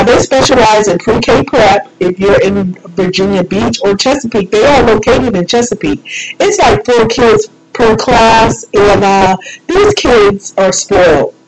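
A woman's voice: medium pitch, low-quality recording. A woman hosting a solo show, chatting in a casual, rambling, folksy and informal way. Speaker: radio show host. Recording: loud music behind the voice. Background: loud background music.

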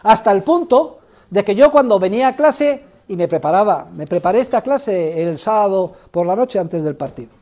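Older professor, high-pitched, theatrical lecture voice in a big classroom. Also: male voice, expressive, moderate pace. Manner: theatrical, emphatic, swings from shouting to low asides. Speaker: economics professor. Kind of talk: university lecture. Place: large university classroom.

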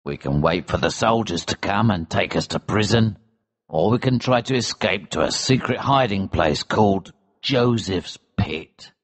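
Man playing a deep, slow, grim old smuggler. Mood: grave, steady. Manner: calm, cold, deliberate. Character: grim, vengeful older smuggler, fatherly to a boy. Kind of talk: acted storybook dialogue.

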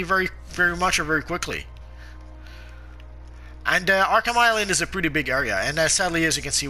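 Casting: man, sharp bright tone, sniffly sore throat, halting game-guide chatter with lots of "uh". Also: high-pitched. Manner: halting, frequent "uh" fillers and restarts. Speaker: gameplay guide narrator. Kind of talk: casual game commentary.

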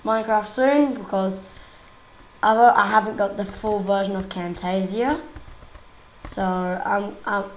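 A young woman with a croaky voice from a cold, chatting casually and giggling while she plays a game. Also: medium pitch, fairly even intonation, unhurried, long pauses, echoey room, rough recording. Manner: chatty, giggly, easily exasperated. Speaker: gaming YouTuber. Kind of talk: casual gameplay commentary.

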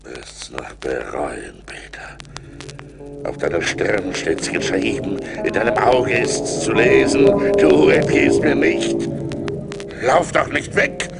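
A giant, menacing forest spirit in an old radio play. He tempts theatrically in a slow drawl, his gravelly voice swooping wildly between whisper and roar. Loud music plays underneath. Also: low voice, expressive, heavily acted. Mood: threatening. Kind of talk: 1980s German radio play acting.

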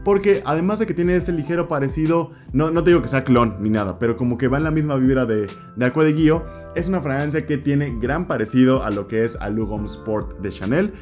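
A man's voice: medium pitch, brisk, few pauses, darkish tone, faint music behind. A young man in his twenties with a Mexican Spanish accent, casually talking through perfume picks in a vlog, repeating words for emphasis. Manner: chatty, gestures a lot, repeats words for emphasis. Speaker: fragrance reviewer. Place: indoor room with shelves of perfume bottles. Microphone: desk mic in front, camera at mid distance.

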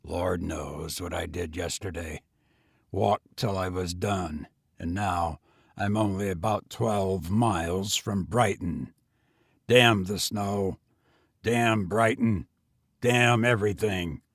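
A man plays a gentleman fallen to tramping in a narrated story. His voice sits low and quiet. He speaks grimly and bitterly, with weary resignation. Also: unhurried, slightly rough, no echo, clean studio sound, heavily acted. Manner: grim, subdued, resigned.

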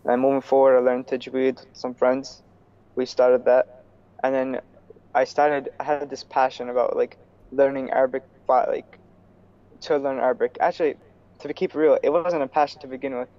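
A young man from New York, a student guest in a casual video-call interview, talking in a relaxed way with many fillers and restarts. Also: medium pitch, moderate pace. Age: young adult. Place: small room indoors. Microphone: earphone headset on video call. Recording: slightly dull video call audio.

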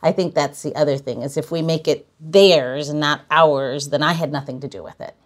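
A woman's voice: sits low, moderate pace. A woman hosting a podcast, chatting casually in an effusive, rambling way. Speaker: podcast host. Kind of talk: casual interview chat.

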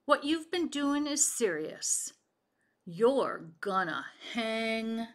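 A stern, folksy Old West sheriff from a storybook, acted out by a woman. The delivery is slow and deliberate, with a bright, firm tone that keeps calm command. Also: extremely high pitch, full character voice. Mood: grave.

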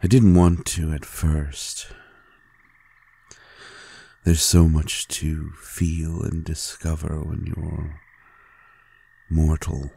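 A man playing a tender, adoring vampire lover in an intimate audio roleplay, gently teasing in a hushed, halting, gravelly voice. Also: flat delivery, heavily acted. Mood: fond, gently teasing. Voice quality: very deep, gravelly and husky. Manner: slow, hushed, halting with pauses.

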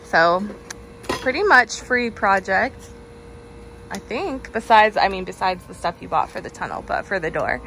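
A woman's voice: medium pitch, very animated, measured pace, background noise. A woman chatting upbeat while filming a home vlog in her backyard on a phone. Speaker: vlogger. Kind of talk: casual home vlog narration. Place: outdoors in a backyard. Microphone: handheld phone camera. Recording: background music bed.

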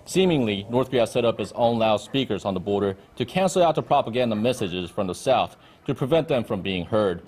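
A man's voice: fairly low pitch, lively intonation, brisk, a little mellow, slight background noise. A man in his thirties delivering a live news report as a correspondent from an indoor briefing room. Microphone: handheld-style reporter mic on desk.

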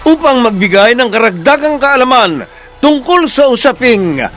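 A woman announcer presenting a radio drama program in a formal, measured, scripted style. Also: a little high, animated. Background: music.

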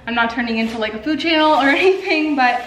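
A woman's voice: high-pitched, quick pace, fairly bright tone, a little hiss or hum. A young woman in her twenties, chatting casually to camera at home with lots of filler words and busy hand gestures. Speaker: artist vlogger. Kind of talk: casual vlog chat. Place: home living room and kitchen, indoors. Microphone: camera on a stand. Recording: echoey room.

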